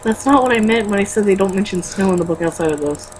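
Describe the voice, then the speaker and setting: Woman reading aloud over clear background noise. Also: medium pitch, animated, fast talker. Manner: stumbles over words when reading, blunt and sweary in asides. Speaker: co-host reading a novel aloud with commentary. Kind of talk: amateur read-aloud with mocking casual commentary.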